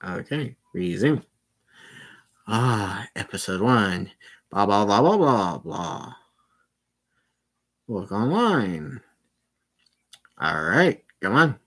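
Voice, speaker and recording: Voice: low-pitched, very animated, extremely slow, long pauses, fairly bright tone, husky. A game streamer giving casual, self-directed commentary while playing, muttering and often swearing. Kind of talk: casual livestream gameplay commentary.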